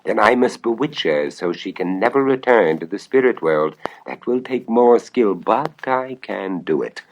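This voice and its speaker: A man's voice: on the low side, expressive, measured pace, a little hiss or hum, voice pushed up, poor audio. A man voicing a greedy, scheming old wizard with theatrical delivery, in a horror tale. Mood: gloating. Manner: theatrical, incantatory.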